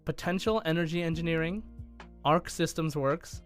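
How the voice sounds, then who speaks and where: A man hosting a gaming podcast in casual, enthusiastic talk, with halting starts and emphatic stresses. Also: on the high side, faint music behind. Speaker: podcast host and quizmaster.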